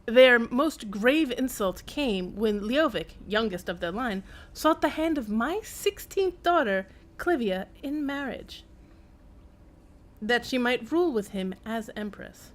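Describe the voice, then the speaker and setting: A woman's voice: on the high side, expressive, takes it slowly. A woman voicing a pompous old imperial chancellor, declaiming his journal aloud from a fantasy game. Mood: self-important. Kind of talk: dramatic read-aloud of in-game text.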